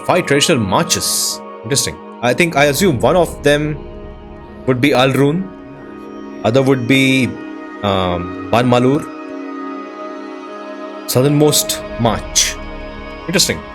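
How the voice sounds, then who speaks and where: A man's voice: medium pitch, lively intonation, measured pace, pauses often. Man musing aloud over game music. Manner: musing aloud, exclaiming at sights. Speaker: let's player and show host. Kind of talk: casual game commentary.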